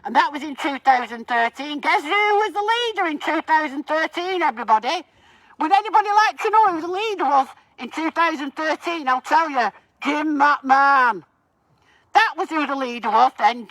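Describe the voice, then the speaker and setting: High-pitched woman protesting through a megaphone. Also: lively intonation, normal speed, fairly bright tone, no room sound, poor audio. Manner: confrontational, accusatory, addressing bystanders. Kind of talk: loud public protest speech in the street. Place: outdoors on a residential street.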